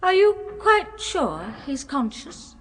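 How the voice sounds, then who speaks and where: An actress playing a doting, quietly possessive British wife with a genteel, cooing manner in a 1970s radio horror drama. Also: female voice, sits high, sing-song, exaggerated voice. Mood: musing, wondering. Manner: genteel, deliberate, cooing endearments. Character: softly doting, quietly possessive wife of middle age or older. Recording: reverberant, noisy old radio recording.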